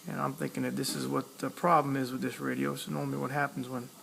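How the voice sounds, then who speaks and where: A man's voice: medium pitch, little pitch movement, quick pace, creaky, a bit bright, slight background noise. A man giving a casual hands-on tutorial, talking plainly step by step as he works at a repair task. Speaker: electronics hobbyist demonstrating a repair.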